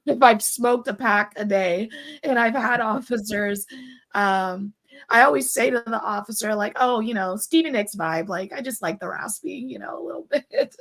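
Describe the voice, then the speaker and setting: A woman with a raspy voice pitched slightly above the usual, talking casually as a podcast host. She chats easily and laughs often between her stories. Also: moderate pace, fairly bright tone. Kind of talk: casual interview chat.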